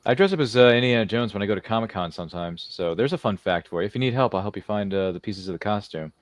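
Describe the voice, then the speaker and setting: A man's voice: lowish voice, fast talker, no room sound. A man hosting a live-streamed interview show, chatting casually in a jokey, rambling way. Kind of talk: casual chat.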